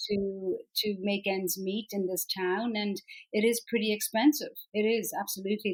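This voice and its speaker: A woman in her forties, chatting as an interview guest. She tells her stories freely, with plenty of fillers and restarts, in a voice of middling pitch. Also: poor audio. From Ireland. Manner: chatty, warm storytelling with frequent fillers and restarts. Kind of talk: casual interview chat.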